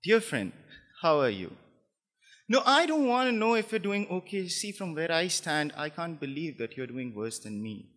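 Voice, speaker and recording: High, bright-voiced man performing spoken word. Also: very animated, moderate pace. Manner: intense, swinging from hushed lines to forceful outbursts. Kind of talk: dramatic spoken word poetry performance, in the form of a letter.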